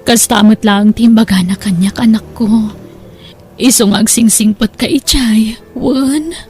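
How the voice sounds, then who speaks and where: A woman's voice: fairly high pitch, measured pace, darkish tone, faint music behind, lightly acted. A woman with an Ilocano accent playing a poor, loving, hesitant mother in an audio drama. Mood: tender, reluctant.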